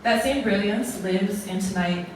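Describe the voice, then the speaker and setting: A woman's voice: medium pitch, unhurried, a little hiss or hum. A woman from American Samoa giving a formal, earnest closing speech at an arts awards event, often building her points as lists. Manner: earnest, ceremonial, speaks in lists. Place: large echoey museum hall. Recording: echoey hall, distant mic.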